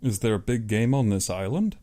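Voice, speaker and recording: A reserved, polite male hunter, as a man reads him in an audiobook. His voice is mellow and soft-edged. He talks with understatement, like a careful dinner guest. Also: on the low side, animated, normal speed, close to an everyday voice. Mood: surprised, curious.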